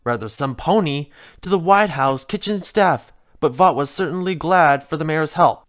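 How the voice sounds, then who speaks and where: A narrator reading a scripted comic fan fiction story aloud. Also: mid-range voice.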